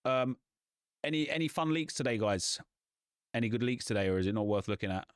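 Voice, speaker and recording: Slightly hoarse man, dry close mic. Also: medium pitch, lively intonation, dry recording. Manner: chatty, ranting, quick asides. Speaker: gaming YouTuber and streamer. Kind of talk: casual gaming commentary.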